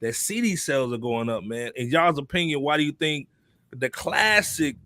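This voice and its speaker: A man's voice: mid-range voice, expressive, moderate pace, bright, forceful tone. A middle-aged man with an American accent, hosting a casual hip hop podcast in loose slang and repeating phrases for emphasis. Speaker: podcast host.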